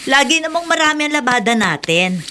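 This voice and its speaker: A woman's voice: high-pitched, big pitch swings, brisk, bright, forceful tone, a little hiss or hum, full character voice. A woman playing a long-suffering, middle-aged laundrywoman mother in a Tagalog radio drama. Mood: resigned, reassuring.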